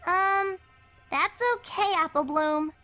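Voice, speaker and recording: A woman voicing a small, earnest, uneasy young filly pony with a childlike squeaky voice in a story reading. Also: slow pace, pushed and bright, soft background music, exaggerated voice. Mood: wary. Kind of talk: dramatic fan fiction reading.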